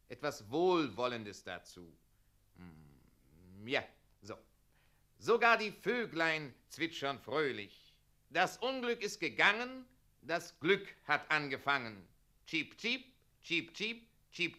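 Sharp, fussy, officious mayor. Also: male voice, fairly high pitch, full character voice. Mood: singsong demonstrating. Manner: pedantic, bossy, unctuous. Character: fussy, officious town mayor drilling servile citizens. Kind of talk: radio play acting.

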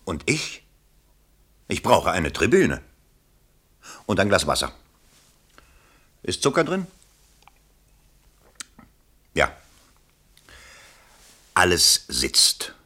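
Pompous nervous politician, bright forceful voice, slow oratory, huge pitch swings, vintage radio play. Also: male voice, wildly swooping pitch, husky, full character voice. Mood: nervous muttering. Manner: theatrical oratory alternating with low muttered asides. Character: pompous, nervous male politician rehearsing and orating. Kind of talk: 1960s German radio play acting.

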